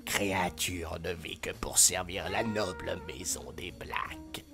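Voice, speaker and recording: A man voicing a bitter, contemptuous old fantasy house elf who mutters in a croak. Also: low-pitched, slow pace, over music, pitched below the performer's own voice, full character voice. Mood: spiteful.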